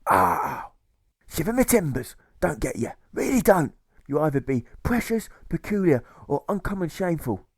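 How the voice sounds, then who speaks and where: A man voicing a gruff, volatile old sailor turned jailer in an audiobook, with a stage pirate accent and blustering, exclamatory delivery. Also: fairly high pitch, very animated, normal speed, no room sound, clean studio sound, pitched above the performer's own voice.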